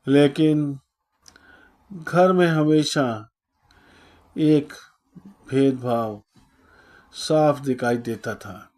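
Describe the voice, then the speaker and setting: Male narrator for moral tales: very unhurried, soft-edged and mellow, solemn, pausing often between phrases. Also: mid-range voice. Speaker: storyteller. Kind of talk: scripted moral story narration.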